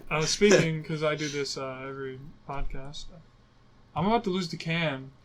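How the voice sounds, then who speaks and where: A man's voice: a little high, normal speed. A young man co-hosting a sports podcast, blunt and teasing, swearing casually. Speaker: podcast co-host. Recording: faint background hiss.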